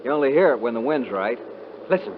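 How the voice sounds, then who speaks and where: A man's voice: mid-range voice, animated, quick pace, natural voice. A man playing an uneasy young ranch brother who urgently wakes his older brother, in 1950s radio drama style. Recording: hissy muffled 1950s radio broadcast.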